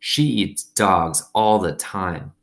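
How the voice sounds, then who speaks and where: A man's voice: low voice, animated, slow pace, full character voice. A man reading as a nine-year-old boy who teases with spooky menace in a storybook. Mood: menacing teasing.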